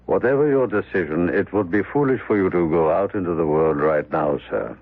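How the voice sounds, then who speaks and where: An actor playing a formal, ominous manservant in an old radio drama, speaking in measured phrases. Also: male voice, low-pitched. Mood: grave warning. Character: grave, formal manservant, prophetic and accusing. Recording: muffled, narrow-band old radio broadcast.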